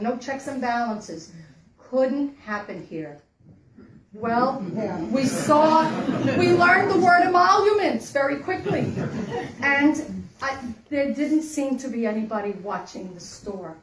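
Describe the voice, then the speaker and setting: Woman in her 60s, earnest and emphatic campaign speaker, recorded from a distance in a roomy space with faint hiss. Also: a little high. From Dominican Republic. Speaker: congressional candidate. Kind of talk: campaign stump speech. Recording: echoey room, mic from far off.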